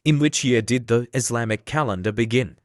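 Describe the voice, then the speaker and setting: A man hosting a quiz, reading out questions and answers in a plain, measured way. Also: mid-range voice. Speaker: quiz host. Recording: clear steady background noise.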